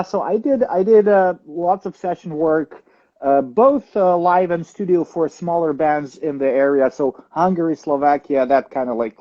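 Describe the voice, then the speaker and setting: A young man from Ukraine answering interview questions, thoughtful and halting, with many fillers and restarts. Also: a little high, dull audio. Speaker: guest drummer.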